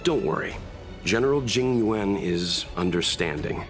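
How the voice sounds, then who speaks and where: Deep, calm, courteous gentleman. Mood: reassuring. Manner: measured, polite, reassuring. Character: calm, courteous, sensible man, a helpful guest. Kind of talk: video game voice acting. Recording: game music clearly behind the voice.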